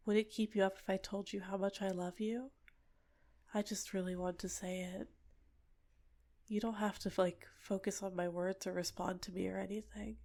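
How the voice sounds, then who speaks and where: A woman playing a doting, possessive fox-spirit wife in an ASMR roleplay, speaking in soft, breathy, soothing murmurs and whispers. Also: medium pitch. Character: doting, possessive female kitsune wife, tender, lovestruck.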